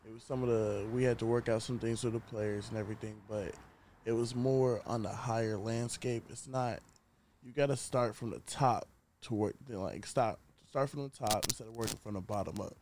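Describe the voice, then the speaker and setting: A man's voice: medium pitch, steady tone, moderate pace, darkish tone, slight background noise. A nineteen-year-old man, an athlete chatting casually and informally as a podcast guest. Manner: slangy, informal. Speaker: podcast guest, college football wide receiver. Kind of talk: casual chat. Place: inside a truck.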